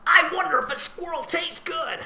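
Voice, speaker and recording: A reader voicing a hardcore, sarcastic fourteen-year-old girl from a story, with a strained, shouty voice. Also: very high-pitched, normal speed, gravelly, echoey room, pitched above the performer's own voice, heavily acted. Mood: impatient, irritated. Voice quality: strained, shouty, like a cartoon knockoff.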